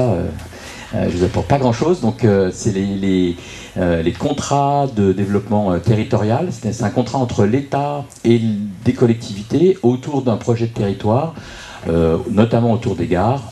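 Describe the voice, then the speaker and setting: A man giving an unscripted explanatory presentation at a public meeting, speaking haltingly and often repeating words as he searches for phrasing. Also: deep voice, dark tone. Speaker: local political speaker introducing a debate.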